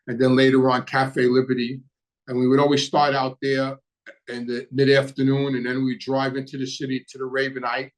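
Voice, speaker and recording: An older man with a New York accent hosts a podcast, talking casually to his viewers in short, plain-spoken phrases with frequent pauses. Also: mid-range voice, completely flat delivery, rough recording. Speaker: podcast host.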